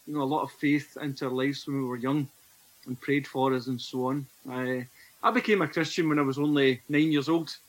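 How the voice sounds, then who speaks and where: A man's voice: mid-range voice, steady tone, fairly quick, low-quality recording. A Scottish man in his forties, a podcast guest telling his personal faith story in an earnest, reflective way. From Scotland. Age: 40s. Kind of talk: personal testimony in conversation.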